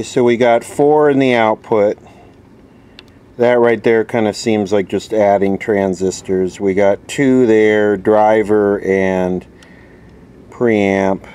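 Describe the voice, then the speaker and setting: A man giving casual, off-the-cuff repair commentary, muttering and thinking aloud as he works. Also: lowish voice. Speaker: host repairing vintage electronics on camera. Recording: steady background noise. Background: steady background noise.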